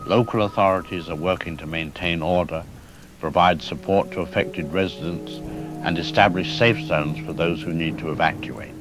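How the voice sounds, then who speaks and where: A man's voice: low-pitched, fairly even intonation, moderate pace, music in the background, natural voice. A man playing a cautious government doctor in a radio drama interview, speaking in a careful, diplomatic, official manner. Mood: measured reassurance. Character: cautious, measured male government doctor giving expert comment.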